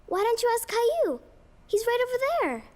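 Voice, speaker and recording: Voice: extremely high pitch, big pitch swings, moderate pace, darkish tone. A performer voicing a kind, reassuring little girl acting in a school play in a cartoon. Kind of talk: scripted children's cartoon acting. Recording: hall reverb, faint music behind.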